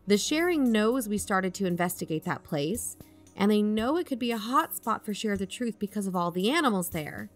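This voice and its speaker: A woman's voice: mid-range voice, close to an everyday voice. A woman playing an assured podcast investigator in a conversational audio drama, reasoning and probing persuasively. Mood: reassuring. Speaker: fictional podcast co-host.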